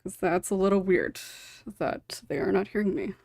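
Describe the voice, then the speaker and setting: A woman's voice: mid-range voice, normal speed, gravelly, a little mellow, no echo. A woman hosting a podcast in casual, unscripted talk. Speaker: podcast host. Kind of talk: casual chat.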